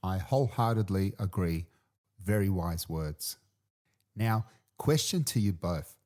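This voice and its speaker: Deep-voiced podcast host. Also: male voice, warm tone. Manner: friendly, affirming, with hesitant restarts. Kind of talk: interview questions.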